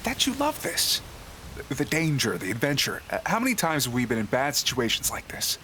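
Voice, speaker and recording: A man's voice: medium pitch, wildly swooping pitch, fairly quick, pushed and bright. A man playing a playful, boyish, wisecracking treasure hunter in an audio drama, with a joking, hesitant way of talking. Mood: teasing, affectionate. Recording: clearly noisy, ambient sound behind.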